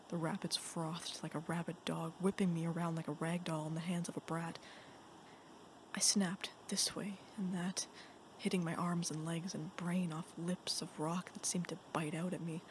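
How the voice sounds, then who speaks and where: Woman, lowish breathy whispery voice, dramatic horror narration, hushed deliberate delivery, noticeable background noise. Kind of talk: dramatic reading of a first-person horror story.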